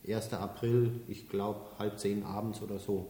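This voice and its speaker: A man from Middle Franconia, a pastor and podcast guest, explaining his work in a relaxed way with an occasional chuckle. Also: lowish voice, normal speed. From Middle Franconia, Germany. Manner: relaxed, explains at length, chuckles now and then. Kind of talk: casual interview talk. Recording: echoey, reverberant room.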